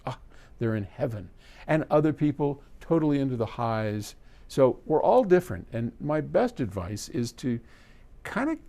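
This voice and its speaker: A man's voice: mid-range voice, a little mellow. A man talking casually and unscripted to camera as a host answering viewer questions, rambling conversationally with asides and self-corrections. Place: trade-in equipment warehouse.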